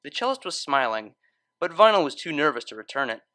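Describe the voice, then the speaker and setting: Expressive, bright-voiced male story narrator. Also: fairly high pitch, brisk, no room sound, studio quality. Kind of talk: expressive scripted fan fiction narration.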